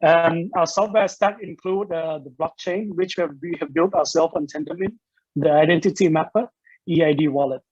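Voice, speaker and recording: Founder from Thailand pitching on a video call, higher male voice, dry lo-fi call audio, steady with frequent ums. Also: moderate pace, slightly rough, no room sound. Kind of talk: online startup pitch.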